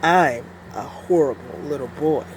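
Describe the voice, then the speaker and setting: Woman as a low, menacing little boy creature. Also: unhurried, a little mellow, a little hiss or hum, rough recording. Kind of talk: children's storybook read-aloud.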